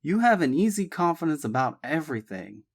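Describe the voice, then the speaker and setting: A man voicing a confident ex-military man who speaks in an even, steady way. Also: medium pitch, normal speed, voice pushed up, natural voice.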